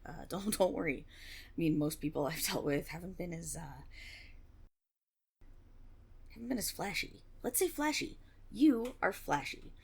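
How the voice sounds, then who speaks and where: A breathy, slightly husky young tiefling rogue acted by a woman in a fantasy ASMR roleplay. Her delivery swings widely in pitch as she rambles nervously, with stammers and a teasing streak, often dropping into a creaky edge. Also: lively intonation, a little slow, pauses often, exaggerated voice. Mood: nervous, awkward. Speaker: audio roleplay performer. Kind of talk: intimate one-sided fantasy audio roleplay, ASMR.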